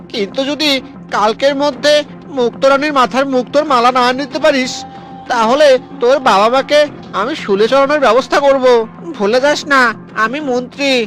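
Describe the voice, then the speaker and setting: A man's voice: extremely high pitch, a bit bright. A man voicing a scheming, threatening royal minister in a fairy-tale cartoon, with a commanding manner. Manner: menacing, commanding. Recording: background music under the voice.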